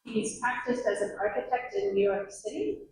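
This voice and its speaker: A woman's voice: mid-range voice, normal speed, darkish tone. A woman introducing a guest speaker in a lecture hall, reading his background with small informal asides. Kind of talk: formal introduction. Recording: very echoey hall, voice far off.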